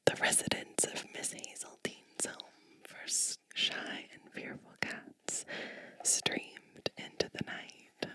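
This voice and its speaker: A woman whispering a bedtime storybook in an ASMR style, gentle and unhurried, close to the microphone. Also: no room sound, rough recording. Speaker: ASMR host reading a storybook aloud.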